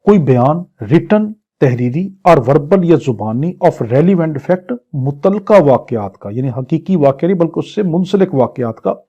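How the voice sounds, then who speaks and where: A man giving a law lecture, reading English legal clauses slowly and then explaining them. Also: on the high side, dark tone. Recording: dull lo-fi recording.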